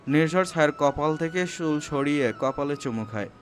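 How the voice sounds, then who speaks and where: A man's voice: fairly high pitch, normal speed. A man narrating a scripted romantic story, with music playing underneath.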